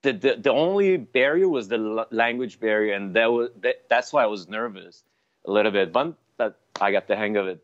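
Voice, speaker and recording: A man whose first language is Armenian, a sommelier chatting in an interview, halting with many fillers and restarts. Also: on the low side. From Lebanon. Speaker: sommelier guest. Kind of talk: casual interview chat. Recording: dull, dry lo-fi sound.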